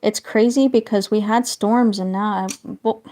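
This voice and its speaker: A woman chatting casually with her livestream viewers while she draws, meandering from topic to topic and often trailing off into quiet asides. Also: medium pitch, steady tone, a little slow. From northern United States.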